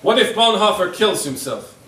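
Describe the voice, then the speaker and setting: A man's voice: high-pitched, animated, normal speed, fairly bright tone. A man acting a scheming, cynical Italian fascist in a stage play, arguing in a persuasive, theatrical way. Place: indoor theatre stage, recorded from a distance. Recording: echoey stage, distant mic.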